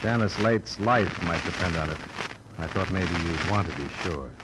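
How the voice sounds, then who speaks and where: Casting: male actor as wry American investigator, lowish voice, dry probing delivery, vintage radio noir, very noisy recording. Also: moderate pace, close to an everyday voice. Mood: cool, persistent. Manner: dry, matter-of-fact, probing. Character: cool, persistent, wry male insurance investigator. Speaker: narrator and lead actor. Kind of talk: 1940s-50s radio noir, first-person narration and dialogue.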